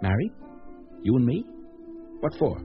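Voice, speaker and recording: A man's voice: medium pitch, very animated, unhurried, over music. A man playing a brusque, nagging, self-absorbed show-business agent in a radio comedy-drama. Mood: distracted, irritable. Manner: brusque, nagging, matter-of-fact. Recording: dull lo-fi radio sound, faint noise.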